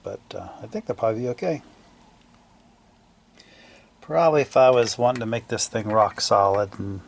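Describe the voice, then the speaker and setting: A man giving casual, unscripted repair commentary in a gravelly voice, thinking aloud haltingly in trailing fragments. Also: lowish voice. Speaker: hobbyist restorer narrating a repair video.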